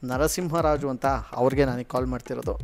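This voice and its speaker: A man's voice: medium pitch, fairly even intonation, fairly quick, a little mellow, a little hiss or hum. A man hosting comic prank calls in a teasing, cheeky way. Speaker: prank call radio jockey host. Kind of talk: comic prank call and channel presenting.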